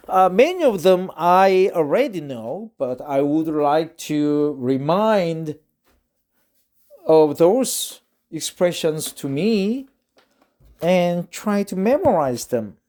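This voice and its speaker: A man with a Korean accent talks and reads aloud in a slow, halting way, full of fillers. He is slightly tipsy, practicing English on his own vlog. His pace is unhurried throughout. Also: high-pitched, a little mellow. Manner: halting, many drawn-out fillers. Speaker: English learner vlogging. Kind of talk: casual talk and reading aloud.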